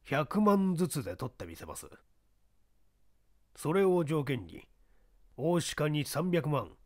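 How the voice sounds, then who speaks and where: 抜け目なく意志の強い野球スカウトを、真剣な口調で演じる男性。. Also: male voice, on the high side, very animated, takes it slowly, no echo, studio quality, heavily acted. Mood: determined. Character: shrewd, determined male baseball scout.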